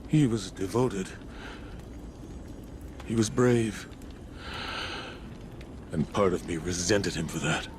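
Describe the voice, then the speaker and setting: An actor playing a grieving, broken commander in a video game, speaking gravely and with anguish. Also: male voice, low voice, animated, slow, pauses often, background noise. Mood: anguished grief. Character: grieving, broken older male commander, solemn warrior. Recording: dramatic music behind the voice.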